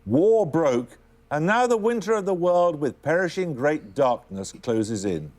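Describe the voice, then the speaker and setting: Formal quiz host guy, high-ish lively voice, crisp dry delivery. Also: measured pace.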